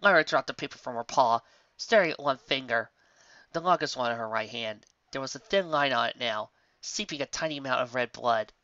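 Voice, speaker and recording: A man's voice: medium pitch, fairly quick, pushed and bright, dry recording, highs cut off. A man narrating a story expressively from a script.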